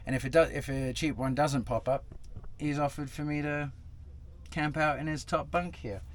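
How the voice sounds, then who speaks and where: A man's voice: on the high side, fairly even intonation, moderate pace. A young man talking casually to camera in a travel vlog, rambling with lots of fillers and restarts, sitting in a truck cab. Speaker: travel vlogger. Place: inside a parked truck cab.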